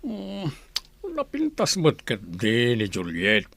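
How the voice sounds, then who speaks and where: An easygoing village man acted by a male performer, with a medium-pitched voice. He talks casually and asks questions like a brotherly friend in an Ilocano radio comedy. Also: huge pitch swings, slow, creaky, fairly bright tone, no echo, exaggerated voice.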